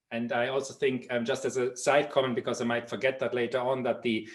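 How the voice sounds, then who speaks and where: A middle-aged man from Germany with a German accent, giving an academic seminar lecture with frequent fillers and restarts. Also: medium pitch. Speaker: professor giving a seminar talk.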